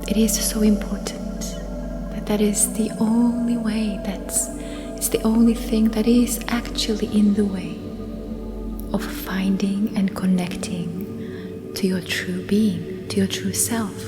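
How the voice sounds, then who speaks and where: Woman whispering slowly over ambient music. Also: mid-range voice. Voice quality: soft, breathy whispering. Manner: gentle, hushed, soothing, with lingering pauses. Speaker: meditation guide. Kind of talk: slow whispered guided sleep meditation. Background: immersive ambient meditation music.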